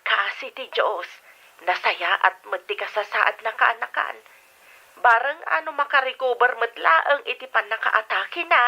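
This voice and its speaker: An actress playing a kindly, reassuring aunt on a phone call in an Ilocano radio drama. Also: female voice, on the high side, unhurried, vocal fry, bright, forceful tone, full character voice.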